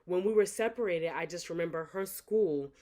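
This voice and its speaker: A woman's voice: on the low side, moderate pace, warm tone. A young adult woman, an author, speaking earnestly and reflectively to her supporters in a motivational talk, often repeating phrases for emphasis. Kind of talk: motivational direct-to-camera talk.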